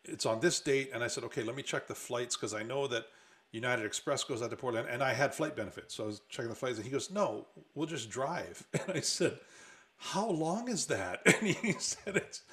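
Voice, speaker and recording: A man's voice: mid-range voice, lively intonation, brisk, fairly bright tone. A man with an American accent who grew up in Hawaii, telling rambling anecdotes in casual comedic conversation as a stand-up comedian. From Hawaii, United States.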